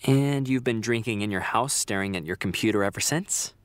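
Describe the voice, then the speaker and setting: A man's voice: on the low side, brisk, no room sound, close to an everyday voice. An actor playing a prim, health-conscious man, exasperated with his friend, in naturalistic audio drama dialogue. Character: fussy, health-conscious morning person, exasperated male friend.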